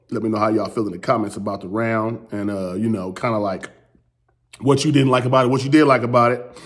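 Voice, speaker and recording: A man and battle rapper with a strained, hoarse voice, recapping his round in loose, rambling casual talk. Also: medium pitch. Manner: loose, rambling, repeats "you know what I'm saying". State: losing his voice.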